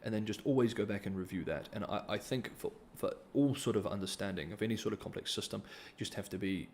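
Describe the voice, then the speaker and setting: A man's voice: lowish voice, quick pace, slight background noise. A man, hosting a casual livestream Q&A and reading donor comments, hesitant, with many ums and restarts. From New Zealand. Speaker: livestream host.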